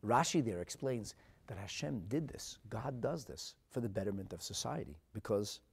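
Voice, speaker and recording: A man with a North American accent giving a religious sermon as a rabbi, emphatic and dramatic, phrasing deliberately and repeating for effect. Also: on the low side, expressive, creaky, husky. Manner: emphatic and dramatic, swinging from hushed asides to loud declarations; deliberate phrasing with rhetorical repetition. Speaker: rabbi and Torah teacher.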